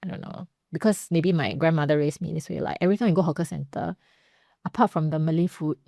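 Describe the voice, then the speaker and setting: A young Singaporean woman with a Singaporean accent chats easily as a podcast host, filling her stories with "like" and "you know." Her voice sits slightly low. The sound is dry and close, with no room echo. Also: fast, gravelly, mellow. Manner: chatty, storytelling, frequent "like" and "you know". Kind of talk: casual conversational interview.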